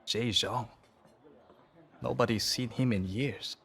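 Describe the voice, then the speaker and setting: An actor playing a swaggering, foul-mouthed gang boss and arms dealer in a video game cutscene. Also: male voice, mid-range voice, steady tone, slow pace, vocal fry, fairly bright tone. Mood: cocky, amused.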